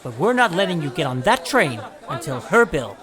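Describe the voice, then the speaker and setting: An actor playing a firm hotel security guard in an audio drama, insistent and formal. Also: male voice, on the high side, big pitch swings, normal speed. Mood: stern. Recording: crowd noise around the voice.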